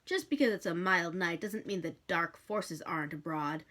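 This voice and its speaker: A woman's voice: fairly low pitch, moderate pace, fairly bright tone. A woman reading a novel aloud expressively, with a wry delivery and the occasional stumble over a word.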